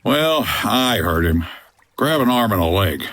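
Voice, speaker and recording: An actor playing a gruff, wry 1950s boat skipper with a deadpan manner in a comedy adventure audio drama. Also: male voice, lowish voice, unhurried, no echo. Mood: unruffled. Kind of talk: old-time-radio-style comedy adventure acting.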